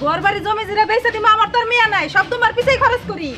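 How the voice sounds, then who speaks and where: A woman's voice: very high-pitched, racing, over music, a crowd in the background. A woman voicing a worried, reproachful poor mother in a cartoon drama, with a Bangladeshi accent and a chiding, imploring manner. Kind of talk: cartoon comedy drama dialogue.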